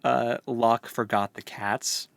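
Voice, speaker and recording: A man's voice: mid-range voice, steady tone, takes it slowly, gravelly, no echo. A man co-hosting a book podcast, chatting casually and retelling scenes in an informal, jokey way with acted-out dialogue. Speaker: co-host of a book read-along podcast. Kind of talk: casual book discussion chat.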